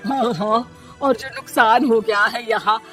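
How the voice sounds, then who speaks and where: A woman puts on an irate young husband from a comic story. He is fed up and scornful, scolding in a loud, sharp, high voice. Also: fairly even intonation, unhurried, music in the background, close to an everyday voice.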